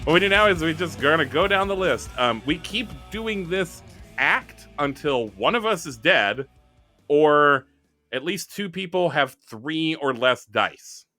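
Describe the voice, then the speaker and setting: A man's voice: a little high, expressive, measured pace, pushed and bright, faint music behind. A man chatting casually as he explains rules and plays in a tabletop roleplaying game.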